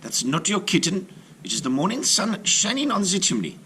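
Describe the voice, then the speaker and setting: A man voicing a stern mother in a folktale read-aloud, scolding her children in a high put-on voice. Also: sing-song, normal speed, pushed and bright, slight background noise, heavily acted. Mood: impatient. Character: sharp, impatient mother hurrying children.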